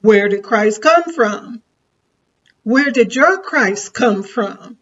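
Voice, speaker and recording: A woman's voice: fairly high pitch, expressive, slow, a bit bright, dull audio, full character voice. A woman performing an older woman orator, bold and plainspoken, addressing a crowd in a preacherly, folksy way with a repeated refrain. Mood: defiant.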